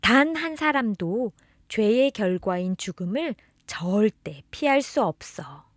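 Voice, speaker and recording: A woman's voice: mid-range voice, very animated, slow pace, no echo, studio quality. A woman reading a scripted children's religious storybook, expressively addressing the listener as a beloved child. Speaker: storybook narrator reading children's catechism tales.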